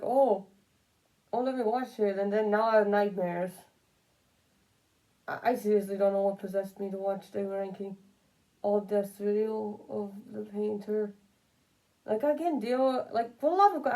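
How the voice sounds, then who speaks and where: A woman with a soft-edged, dark voice talks casually and off the cuff. She rambles through her opinions as a commentary creator, circling back to repeat phrases for emphasis. Her pitch sits in an ordinary middle range. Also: moderate pace, rough recording.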